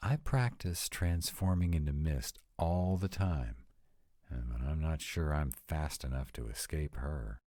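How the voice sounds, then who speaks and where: A man's voice: very deep voice, a little slow, warm tone, no echo. A man voicing a sarcastic, exasperated vampire in a scripted roleplay audio, talking to his partner with dry, emphatic delivery. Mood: anxious, sardonic. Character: sarcastic male vampire, exasperated, anxious about in-laws.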